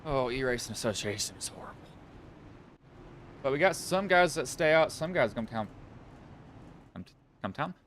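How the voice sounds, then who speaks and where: A man's voice: medium pitch, animated, moderate pace, long pauses, a bit bright, a little hiss or hum. A man with a Southwest Virginian accent doing casual play-by-play commentary on an online sim race, rambling and correcting himself as he goes. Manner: rambling, self-correcting, talks to himself between calls.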